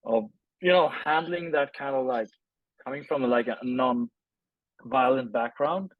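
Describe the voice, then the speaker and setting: A man, telling his story casually and haltingly as an interview guest, with informal language. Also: medium pitch, no room sound, narrow, tinny audio. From Sweden. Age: 30s. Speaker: interview guest, film director.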